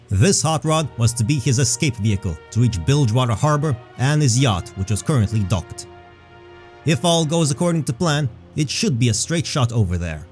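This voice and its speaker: A man narrating a scripted fantasy story in a measured, dramatic storytelling manner. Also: medium pitch, darkish tone.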